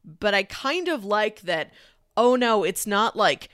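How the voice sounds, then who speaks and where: A woman's voice: mid-range voice, sing-song, slow, a bit bright, smooth, no echo, clean studio sound. A woman co-hosting a casual discussion about films, talking in a conversational, informal way. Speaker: film podcast co-host.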